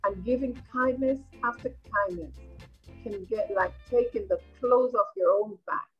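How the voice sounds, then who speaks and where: A woman's voice: medium pitch, measured pace, soft background music, close to an everyday voice. An older woman with a Caribbean accent reading the part of a reflective, homesick migrant nurse in a play, over a video call. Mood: weary, reflective. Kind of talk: rehearsed play reading, monologue. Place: indoor room at home, video call. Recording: muffled video-call audio.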